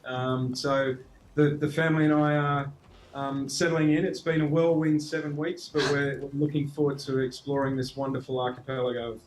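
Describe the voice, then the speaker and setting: A man's voice: mid-range voice, little pitch movement, moderate pace, background noise. A middle-aged Australian man answering interview questions, hesitant with frequent restarts and fillers. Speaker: guest, marketing executive.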